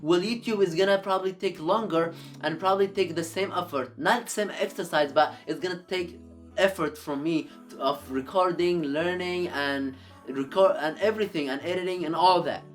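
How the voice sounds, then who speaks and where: A man's voice: sits high, normal speed, faint music behind. A man whose first language is Arabic, giving earnest motivational advice to camera in a YouTube video, often repeating and restarting his words.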